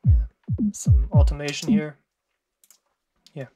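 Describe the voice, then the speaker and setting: German-accented producer walking through a project, slow and halting, lots of um and yeah, laid-back and self-deprecating. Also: mid-range voice, flat delivery, mellow, soft background music. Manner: hesitant, halting, many fillers and short pauses. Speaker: music producer. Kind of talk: screen-recorded production walkthrough.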